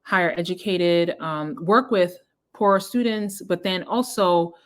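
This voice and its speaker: A woman's voice: lowish voice, measured pace. A woman in her thirties, born in Jamaica, hosting an education research channel, chatting casually and reading out study abstracts, often correcting herself.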